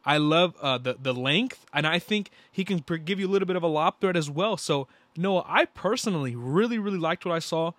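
Excitable young male podcast co-host, bright-leaning pitch, dry studio sound. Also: brisk, hardly any pauses, no room sound. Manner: excitable, slangy, repeats himself. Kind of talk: casual basketball chat.

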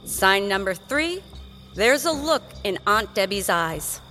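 A woman's voice: medium pitch, very animated, slow pace, sharp tone, faint music behind. A woman narrating a children's drama in a scripted, suspenseful and emphatic storytelling style.

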